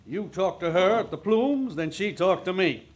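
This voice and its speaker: An actor playing a smug, mocking criminal mastermind in a 1940s radio crime drama. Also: male voice, high-pitched, expressive, normal speed. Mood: gloating. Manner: mocking, superior.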